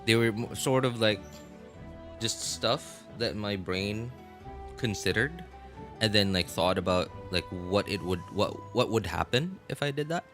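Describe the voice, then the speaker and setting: A man livestreaming games in casual chat, talking in a halting, rambling way and often repeating himself. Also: lowish voice, lively intonation, a bit bright. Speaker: live game streamer. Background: music.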